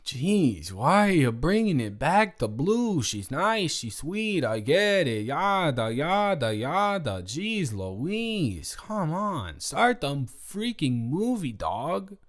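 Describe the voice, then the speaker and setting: Man acting as a tipsy roommate, wildly swooping pitch. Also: very animated, slow, hardly any pauses. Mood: exasperated, defensive. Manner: slurred drunken rambling, stammering, exasperated. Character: drunk, rambling, defensive young male roommate secretly in love. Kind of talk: improvised roleplay audio drama.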